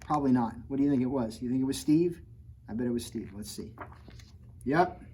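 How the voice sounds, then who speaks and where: A man's voice: medium pitch, brisk, mellow, a little hiss or hum, low-quality recording. A man reading a picture book aloud to children in a playful, conversational way, breaking off to chat and ask them questions. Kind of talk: animated storytime read-aloud with chatty asides to children.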